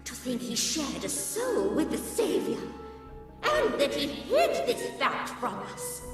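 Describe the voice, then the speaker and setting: Haughty, slow, solemn councillor. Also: female voice, high-pitched, huge pitch swings, full character voice. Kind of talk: dramatic fantasy game acting. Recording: very echoey hall, distant, music behind.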